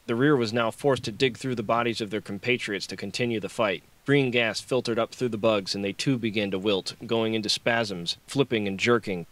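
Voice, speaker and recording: A man's voice: lowish voice, a bit bright, low-quality recording. A man reading dramatic, scripted action fiction as an audiobook narrator.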